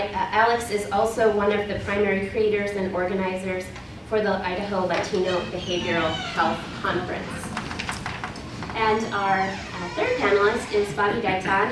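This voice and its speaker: A woman moderating a symposium, reading out speaker introductions with a few fillers. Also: medium pitch. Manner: warm, welcoming introductions with occasional fillers. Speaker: event moderator. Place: college symposium hall. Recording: echoey hall, mic far off, noisy.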